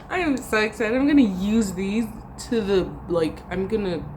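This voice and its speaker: A woman's voice: medium pitch, animated, a little slow, darkish tone, slight background noise, rough recording. A young woman, a university student, chatting casually to her camera at home, rambling and trailing off with fillers. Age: young adult. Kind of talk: casual vlog chat to camera.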